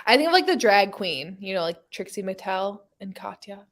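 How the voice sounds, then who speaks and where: A woman's voice: medium pitch, very animated, normal speed. A woman chatting casually and informally as a host of a book readalong live show. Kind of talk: casual chat.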